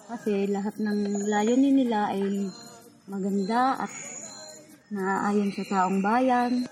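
A woman's voice: medium pitch, fairly even intonation, slow pace, darkish tone. Filipino woman in her twenties, music behind. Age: 20s. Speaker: member of a rural organization giving a testimonial. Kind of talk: personal testimony.

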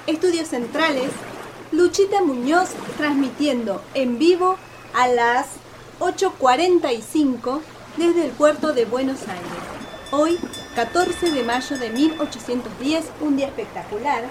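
An actress playing a polite, eager radio reporter with an Argentine accent, formally announcing a live news report in a radio drama. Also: female voice, high voice, measured pace. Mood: polite, upbeat. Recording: distant, noisy outdoor-style radio recording.

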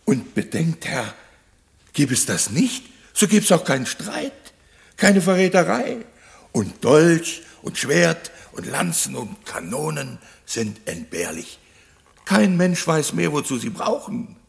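Creaky, high-pitched old counsellor. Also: male voice, sing-song, exaggerated voice. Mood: dreamily idealistic. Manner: earnest, halting, rhapsodic. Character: kindly, idealistic old male royal counsellor. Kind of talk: German radio play acting.

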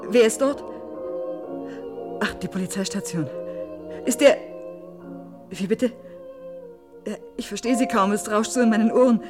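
An actress in a German radio crime drama playing an anxious, jealous wife, agitated and desperately worried. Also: female voice, medium pitch, measured pace, pauses often, music in the background, lightly acted. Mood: frantic, relieved. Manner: agitated, swings between hushed brooding and urgent calls.

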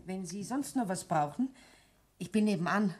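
A woman's voice: mid-range voice, lively intonation, normal speed, close to an everyday voice. An actress playing a practical, demanding Bavarian landlady in a plain-spoken, nagging way, in 1960s German radio drama style. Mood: businesslike. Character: practical, demanding tavern landlady with a secret lover. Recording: noisy 1960s radio play recording.

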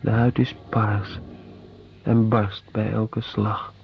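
A man playing a sober, grave witness giving a deliberate dramatic monologue in short phrases in a radio play. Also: fairly low pitch, flat delivery, vocal fry, soft background music. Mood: grim. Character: sober male witness recounting a prison flogging. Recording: dull, muffled radio play recording.

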